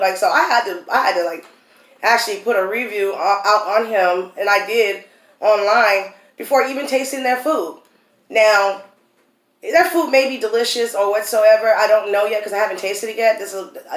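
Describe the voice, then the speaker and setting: A woman, congested and slightly wheezy, chatting casually to camera as a food vlogger. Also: medium pitch. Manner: chatty, storytelling, restarts and coughs. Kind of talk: casual mukbang chat to camera. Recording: echoey room.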